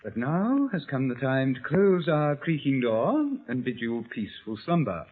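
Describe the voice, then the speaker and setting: A man's voice: mid-range voice, expressive, moderate pace, close to an everyday voice. Man as a lilting, ghoulish horror host. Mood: macabre glee. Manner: arch, darkly humorous, mock-polite. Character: ghoulish, mockingly genteel horror show host. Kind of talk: 1940s radio horror hosting. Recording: tinny old radio broadcast.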